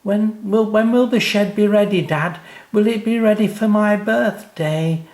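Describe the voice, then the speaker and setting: A man voicing an excitable, demanding young boy in a storybook reading. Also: sits high, measured pace, reverberant, pitched above the performer's own voice. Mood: impatient.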